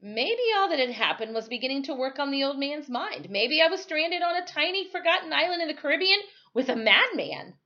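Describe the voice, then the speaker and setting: A woman reading a novel aloud as a storyteller, with careful, dramatic phrasing and expressive narration. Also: high voice, a little fast, hardly any pauses. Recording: dull, lo-fi sound.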